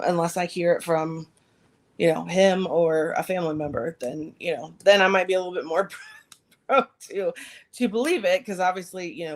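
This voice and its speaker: A woman co-hosting a podcast in a casual chat, her voice slightly raspy after recent surgery. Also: fairly low pitch. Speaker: podcast co-host.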